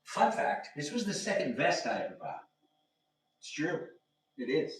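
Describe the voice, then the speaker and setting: A man's voice: a little high, very animated, unhurried. A man in his thirties hosting a casual livestream from his home kitchen, rambling playfully with sing-song asides while he moves gear and takes apart a desk. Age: 30s. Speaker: livestream host. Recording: roomy, distant camera audio.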